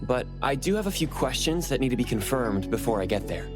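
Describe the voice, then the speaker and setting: An actor playing a calm, helpful investigator in a video game cutscene, businesslike and reassuring. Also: male voice, medium pitch, brisk, lightly acted. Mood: composed, helpful. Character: calm, helpful male investigator hunting anomalies. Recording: background music under the voice.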